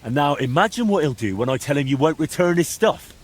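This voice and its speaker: A man's voice: a little high, expressive, brisk. A man playing a bold, blunt young hero in a medieval video game, direct and wryly challenging. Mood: stern, challenging. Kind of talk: video game dialogue acting.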